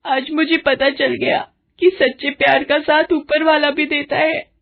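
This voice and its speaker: A woman's voice: high voice, flat delivery, measured pace, creaky, phone-quality sound, voice pushed up. Woman voicing a spiteful, melodramatic scheming mother-in-law. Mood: tearful, remorseful. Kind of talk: animated moral-story dramatisation.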